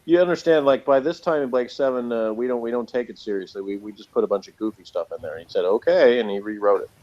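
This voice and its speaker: A man hosts a casual review chat in a rambling, unscripted style. His delivery is sardonic and his pitch sits in an average male range. The recording is slightly dull and lo-fi. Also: quick pace, a little mellow. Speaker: review show host. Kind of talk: casual off-the-cuff TV episode review.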